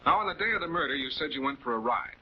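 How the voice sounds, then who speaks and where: An actor playing a composed, methodical male prosecutor, speaking with deliberate authority in a 1940s television crime drama. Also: mid-range voice, animated, slightly rough. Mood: firm questioning. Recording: dull 1940s film soundtrack.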